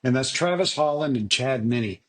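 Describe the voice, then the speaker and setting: A man's voice: mid-range voice, expressive, normal speed. A middle-aged man hosting an aviation podcast, folksy and enthusiastic, with many restarts. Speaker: podcast host. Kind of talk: casual interview chat.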